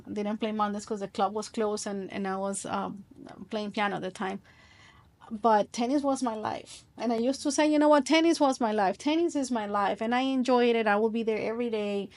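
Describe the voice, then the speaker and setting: A middle-aged woman from Colombia, a podcast guest telling her story in an earnest, reflective way with frequent restarts. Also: a little high.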